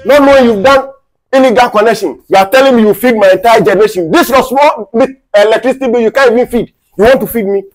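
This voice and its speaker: A man playing a young electricity meter inspector in a comedy skit, exclamatory and quick to swing between deference and scorn. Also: mid-range voice, expressive, a little mellow, breathy edge, poor audio. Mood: indignant, scornful. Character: meek young meter inspector who turns scornful and indignant.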